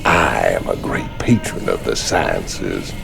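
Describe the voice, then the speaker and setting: An extremely deep male voice plays a swaggering Southern outlaw in a video game. It is heavily gravelled and slow, swinging widely as he mocks and brags. He is gruff and cocky throughout. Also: big pitch swings, music in the background, full character voice. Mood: sly, drawling. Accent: Southern American. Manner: drawling, cocky teasing. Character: hulking, swaggering ex-convict outlaw, gruff and mocking. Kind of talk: video game cutscene acting.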